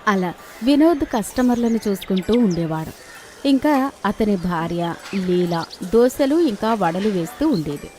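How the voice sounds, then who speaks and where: A woman's voice: medium pitch, animated, unhurried, darkish tone, slight background noise. A woman storyteller giving scripted narration for a children's cartoon tale.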